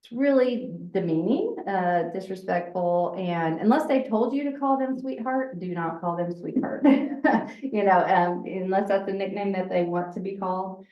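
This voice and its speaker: Loud, chatty woman teaching in an echoey room. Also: moderate pace. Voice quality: lower voice. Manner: loud, chatty, laughs often. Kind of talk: informal workshop talk. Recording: echoey room, distant mic, dull sound.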